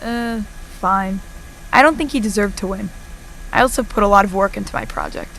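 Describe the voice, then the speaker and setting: A woman's voice: medium pitch, normal speed, a bit bright, a little hiss or hum, natural voice, low-quality recording. A woman acting in an audio drama as a reluctant, subdued young girl auditioning as a child actress. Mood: defensive.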